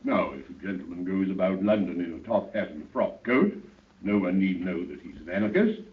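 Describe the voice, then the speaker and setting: An actor playing a domineering, sardonic leader of anarchists in a 1930s radio drama. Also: male voice, sits low, animated, brisk. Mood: mocking. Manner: commanding, sardonic, condescending. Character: domineering, mocking male leader of an anarchist council. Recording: tinny, distant 1930s radio recording.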